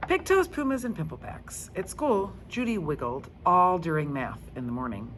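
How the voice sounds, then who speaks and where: A woman reading a children's book aloud in an expressive, emphatic storytelling style. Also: fairly low pitch, a little hiss or hum. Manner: animated, emphatic, deliberate character reading.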